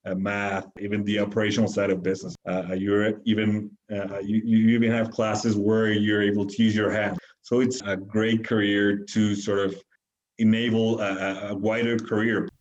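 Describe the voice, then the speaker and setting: A man's voice: on the low side, level tone, moderate pace, darkish tone, highs cut off. A man in his thirties from Mexico, answering interview questions casually with many fillers and restarts. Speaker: guest, venture capital founder.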